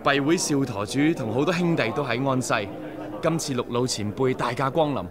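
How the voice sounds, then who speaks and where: A man's voice: medium pitch, moderate pace, a crowd in the background, lightly acted. A man with a Hong Kong Cantonese accent playing a respectful young member of a rebel society in a radio drama. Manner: respectful, eager, formal greetings. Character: courteous young male sworn brother of a rebel society. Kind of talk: 1990s radio drama acting.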